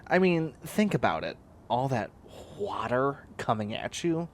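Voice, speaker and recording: A man's voice: mid-range voice, sing-song, a little slow, slight background noise. A man playing a bossy, clingy young catboy in a comedic roleplay audio drama, whining and demanding in a needy way. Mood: content, defensive.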